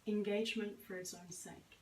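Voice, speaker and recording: A woman's voice: mid-range voice, fairly even intonation, normal speed, darkish tone, roomy sound, recorded from a distance, slight background noise, poor audio. A woman coaching a language learner, asking questions and summarizing his answers with frequent encouraging affirmations. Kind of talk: coaching interview.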